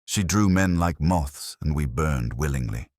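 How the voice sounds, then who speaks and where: A man's voice: a bass voice, expressive, a little slow, darkish tone, no room sound, clean studio sound, exaggerated voice. A man voicing an anguished, devoted playwright in an audiobook, with florid, theatrical phrasing. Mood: rueful devotion. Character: anguished lovestruck male playwright, dramatic and devoted. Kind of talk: audiobook character dialogue.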